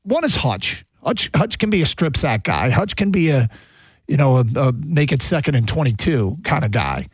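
Husky, higher-voiced man on sports radio. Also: sing-song, normal speed, dry recording, studio quality. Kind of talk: casual sports talk.

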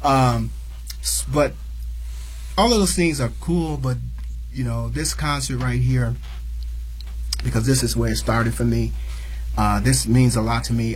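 A man's voice: fairly low pitch, low-quality recording. A man, a singer, chatting casually as a guest in an interview and often repeating words as he searches for them. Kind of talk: casual radio interview chat.

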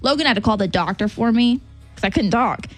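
Woman radio co-host; chatty news delivery, expressive pitch. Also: brisk, soft background music. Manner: chatty, animated storyteller. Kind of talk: casual morning radio chat.

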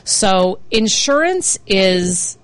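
A middle-aged woman hosting a talk radio show, slightly hoarse and chatty, with many fillers and restarts. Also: medium pitch, big pitch swings, drawn-out words, dry recording. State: slightly hoarse, under the weather. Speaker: radio show host, financial planner. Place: radio studio. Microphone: studio microphone.

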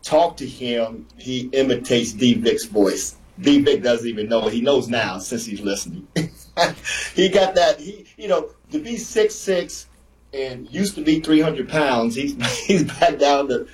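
A man who played the sport, a guest on a radio show, telling stories in a lively, repetitive way. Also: mid-range voice, a little slow, low-quality recording. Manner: animated storyteller, repeats himself. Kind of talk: casual sports radio chat.

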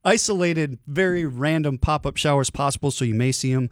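A man's voice: a little high, a little fast, dry recording, clean studio sound. A man hosting a home-improvement radio show, chatting in an easygoing way.

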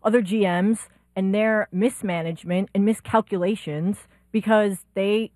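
A woman co-hosting a sports talk show, asking quick, searching questions. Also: mid-range voice, steady tone, a little mellow, dry recording, studio quality. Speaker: sports talk radio co-host.

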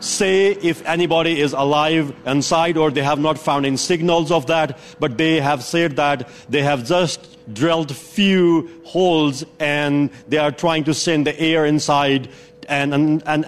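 A man's voice: a little high, fairly even intonation, unhurried, faint music behind. A man reporting live for a news program, giving a careful, earnest account with frequent restarts.

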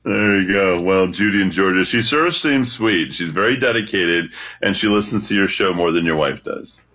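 A man with a deep voice calling into a radio show, talking confidently and animatedly. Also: moderate pace, bright, forceful tone. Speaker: radio caller. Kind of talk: casual radio talk.

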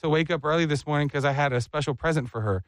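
A man's voice: a little high, very fast, dry recording. A man in his thirties hosting a morning radio show, joking about himself and singing bits. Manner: self-mocking, joking, sings bits of jingles. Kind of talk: playful morning radio banter. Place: radio studio.

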